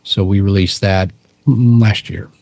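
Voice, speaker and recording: A middle-aged man with a low, dark-toned voice talks through his stories at an easy pace. He loops back and restarts his sentences, relaxed and unhurried, in a dry, close recording. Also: vocal fry, no room sound. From Texas. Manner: relaxed, rambling, frequent restarts and fillers. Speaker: podcast guest, musician and cryptid researcher. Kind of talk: casual interview storytelling.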